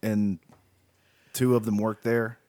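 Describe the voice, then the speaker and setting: A man's voice: on the low side, flat delivery, a little mellow, no echo. Crude dry-sounding podcast man. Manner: crude, quick, laughing. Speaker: musician, podcast co-host. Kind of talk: casual podcast chat.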